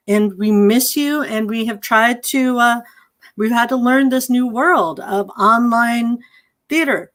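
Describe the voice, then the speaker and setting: High-voiced woman introducing an evening of theatre. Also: a bit bright. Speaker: theatre operations manager and evening's host. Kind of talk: welcoming introduction to an online play reading.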